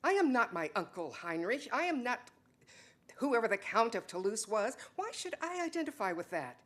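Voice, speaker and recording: A woman's voice: on the high side, big pitch swings, moderate pace. A woman playing an exasperated, defensive wife in a dramatic stage reading, speaking emphatically. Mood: indignant. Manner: emphatic, insistent, swinging from soft to loud.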